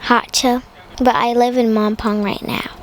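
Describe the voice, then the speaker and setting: A woman's voice: a little high, little pitch movement, measured pace, slight background noise. A young girl from Ghana shyly gives short answers in an interview. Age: child.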